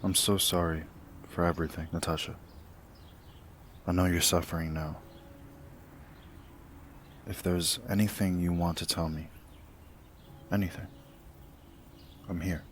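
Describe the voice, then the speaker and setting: A man acting as a gentle, consoling priest in an audio drama, speaking with quiet care. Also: deep voice, steady tone, unhurried, pauses often, slight background noise. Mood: gentle concern.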